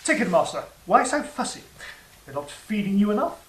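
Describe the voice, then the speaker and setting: Roomy distant recording, woman playing sly old serpent, audio drama, measured, teasing, middle-pitched. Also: sing-song, lightly acted. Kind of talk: stage-style audio drama acting. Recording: roomy, distant, music underneath.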